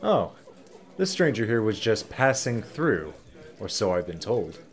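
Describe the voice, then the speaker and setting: A man playing a chatty, easygoing small-town local with a folksy, expressive manner in an audio drama. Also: on the low side, unhurried. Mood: genial, chatty.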